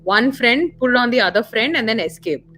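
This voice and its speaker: A woman's voice: on the high side, expressive, fairly quick, bright, forceful tone. A woman with an Indian accent in a casual discussion, speaking as a panelist who clarifies and stresses her points. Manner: emphatic, corrective, clarifying. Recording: noisy live stream audio.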